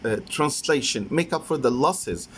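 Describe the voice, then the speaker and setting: A man with an Egyptian accent, a native Arabic speaker, presents an academic paper as a professor, in a halting, hesitant delivery that often repeats phrases. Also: medium pitch, lively intonation, slight background noise. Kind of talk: academic conference lecture with slides.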